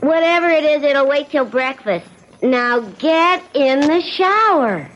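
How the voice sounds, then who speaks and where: An actress playing a scheming, two-faced wife in a radio drama, colloquial, by turns impatient and coaxing. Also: female voice, high-pitched, lively intonation, slow, a bit bright, heavily acted. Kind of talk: 1970s radio drama acting. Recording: dull, slightly noisy old radio recording.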